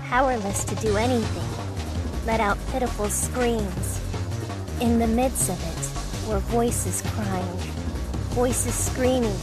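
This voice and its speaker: Actress as a protective young woman, high voice, earnest, dramatic visual novel delivery, prominent music behind. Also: natural voice. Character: protective older-sister young woman, narrating and reassuring a child.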